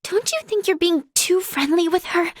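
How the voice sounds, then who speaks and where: A woman's voice: very high voice, moderate pace, no room sound, clean studio sound. A woman playing an earnest, caring young female healer and researcher in a video game, with a hesitant, exclamatory way of talking. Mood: cold, resentful. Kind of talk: English video game voice acting.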